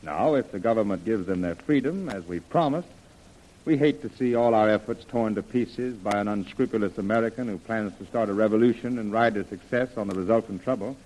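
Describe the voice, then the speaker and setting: An actor playing an earnest, persuasive gentleman major, formal and articulate, in an old-time radio adventure. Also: lowish voice, fairly quick, close to an everyday voice, poor audio.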